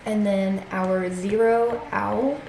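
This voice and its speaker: A congested woman talks casually through her weekly budget, reading out numbers at an unhurried pace. Her voice sits at a middle pitch and rings a little in an echoey room, with a faint hum of noise behind her. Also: steady tone, gravelly, darkish tone. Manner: chatty, reads out figures slowly. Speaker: budgeting channel host.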